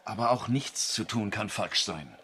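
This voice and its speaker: An actor playing a practical, cautious crew leader on a moon station in a science fiction radio drama, deliberate and businesslike. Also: male voice, fairly low pitch, lively intonation, noisy background.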